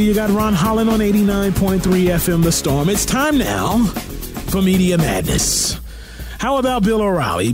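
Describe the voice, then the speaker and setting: A man with a high voice presents news-talk commentary on the radio. His delivery is punchy and very animated, full of theatrical emphasis and teasing asides. He is close on a broadcast mic in a studio, with light music behind him. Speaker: radio host. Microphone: close broadcast boom mic. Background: music bed.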